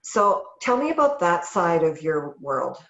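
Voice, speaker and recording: A woman's voice: on the low side, big pitch swings, unhurried, fairly bright tone, echoey room, highs cut off. A woman hosting a podcast, asking curious conversational questions. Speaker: podcast host. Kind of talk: casual interview questions.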